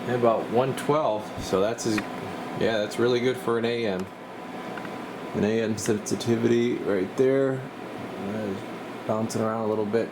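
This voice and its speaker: A man's voice: fairly low pitch, fairly even intonation, moderate pace, noisy background. A man giving a casual, rambling hands-on walkthrough as a radio technician, thinking aloud as he works.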